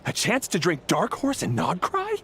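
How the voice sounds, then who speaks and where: A man voicing an excitable, hesitant tavern patron in a video game, with faint noise behind. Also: high-pitched, moderate pace, exaggerated voice. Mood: incredulous.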